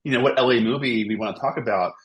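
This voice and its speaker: A man's voice: mid-range voice, fast talker, low-quality recording. A man in his fifties, a podcast guest talking about films with energy and enthusiasm, often repeating words as he goes. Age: 50s. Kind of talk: casual film podcast chat.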